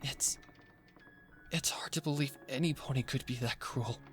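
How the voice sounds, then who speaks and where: A man voicing a young female pony in a horror story, traumatized and fragile, speaking haltingly, with music behind. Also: medium pitch, pitched above the performer's own voice, natural voice. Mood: shaken grief. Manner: halting, repeating words.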